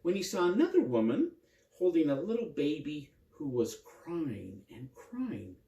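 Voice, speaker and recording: A man narrating a children's story in an expressive, simple way, with dramatic repetition. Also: a little high, measured pace, gravelly. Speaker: priest and storyteller. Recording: roomy echo, distant microphone.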